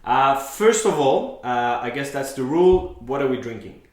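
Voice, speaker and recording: A man's voice: mid-range voice, expressive, normal speed, darkish tone. Hesitant male host, echoey room. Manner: hesitant, many fillers. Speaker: podcast host. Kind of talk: casual interview.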